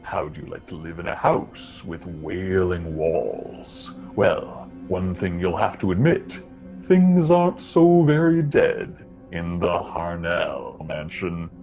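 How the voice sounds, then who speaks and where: An actor playing a ghoulish, sardonic host of a 1940s radio horror show, with mock-sinister, drawn-out phrasing. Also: male voice, deep voice, animated, darkish tone, full character voice. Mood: gleefully macabre. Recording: muffled vintage radio, faint music.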